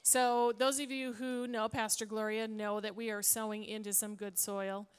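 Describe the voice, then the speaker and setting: A woman pastor with a quiet voice taking the offering and praying over a women's gathering. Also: medium pitch, normal speed, clear, resonant tone. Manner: quiet voice, steady. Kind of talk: offering talk and prayer. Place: church hall with audience.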